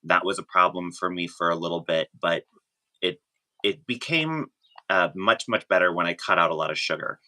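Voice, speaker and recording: Very deep, bright-voiced man. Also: normal speed. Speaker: podcast guest. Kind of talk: casual conversational testimonial.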